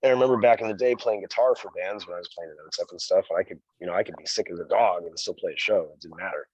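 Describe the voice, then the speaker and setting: Brief: easygoing rock singer, very low voice, muffled and dry recording. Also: male voice, rapid-fire, a little mellow, breathy edge, no room sound. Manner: easygoing, rambling, calls the host "man" and "dude". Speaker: band singer. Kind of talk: casual interview talk. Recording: dull, dry sound.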